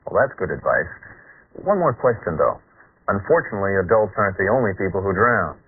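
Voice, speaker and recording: Man in his forties, fairly low voice, scripted host questions, narrow vintage soundtrack. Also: very animated, a little fast, breathy edge. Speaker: host interviewer. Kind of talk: scripted interview presenting. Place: film studio, indoors. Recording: band-limited vintage 1980s film soundtrack.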